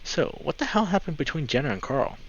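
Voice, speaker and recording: A man's voice: fairly high pitch, big pitch swings, fairly quick, fairly bright tone, a little hiss or hum, pitched above the performer's own voice. A man voicing a young male visual novel character, a casual, reassuring friend who is tentative but frank. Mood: concerned. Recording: slightly dull mic, music behind.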